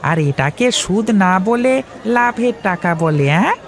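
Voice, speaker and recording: A man's voice: high-pitched, expressive, normal speed. Man voicing a sly, wheedling shopkeeper. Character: sly, money-minded male village fish-feed shopkeeper. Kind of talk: Bengali moral-story cartoon dubbing.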